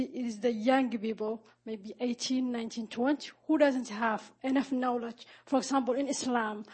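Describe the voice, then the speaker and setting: Woman from somalia, breathy high voice, earnest audience question. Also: poor audio. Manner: earnest, repeats "you see". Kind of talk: question at a panel event. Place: conference hall, handheld mic.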